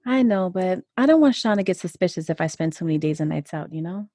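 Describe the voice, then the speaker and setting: A woman's voice: on the low side, lively intonation, fast talker, mellow, no room sound, clean studio sound. A woman acting in an audio drama as a coy, hesitant wife carrying on a secret affair. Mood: affectionate.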